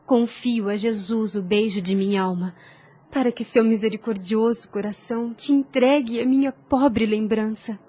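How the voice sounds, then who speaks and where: A woman's voice: a little high, steady tone, moderate pace, warm tone, close to an everyday voice. An actress playing a devout young woman in a solemn audio drama, tender, self-denying and resolute, speaking in lofty, formal phrases. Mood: sorrowful, resolute. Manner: lofty, formal, earnest delivery. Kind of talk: solemn audio drama acting of a religious novel.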